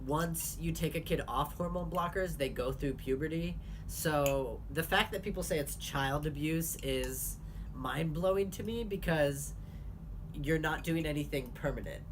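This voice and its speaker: A young man hosting his vlog, talking candidly in a casual chat with quick asides. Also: on the high side, noisy background. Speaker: vlogger host.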